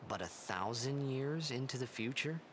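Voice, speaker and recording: Bewildered young male hero, unhurried, faint hiss, game dub. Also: medium pitch, expressive. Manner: disbelieving inner monologue. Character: confused young male athlete lost in time.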